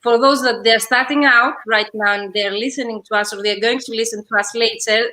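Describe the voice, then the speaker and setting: A woman's voice: on the high side, steady tone, a little fast, pushed and bright. A woman business coach hosting a live online interview, talking conversationally with frequent fillers over a video call.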